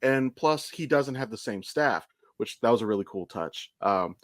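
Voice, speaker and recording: A man talks as a podcast co-host in a medium-pitched voice. He lays out his fan opinions in casual, sweary chat, with very little room sound around him. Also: animated, moderate pace, no room sound, studio quality. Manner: sweary, explanatory fan talk. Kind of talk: casual chat, opinionated pop-culture commentary.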